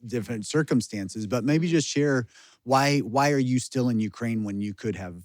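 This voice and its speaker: An American man hosting an interview, asking calm questions and giving soft listening murmurs. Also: mid-range voice, normal speed, no room sound, studio quality. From United States. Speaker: podcast host. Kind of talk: interview host.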